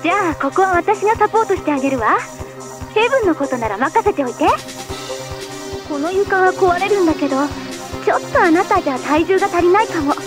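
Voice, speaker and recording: Very high-pitched perky girlish guide. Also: animated, brisk. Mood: cheerful, teasing. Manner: chirpy, teasing, casual explaining. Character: perky, playful young female guide and ally. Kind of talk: animated Japanese video game cutscene acting. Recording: music behind the voice.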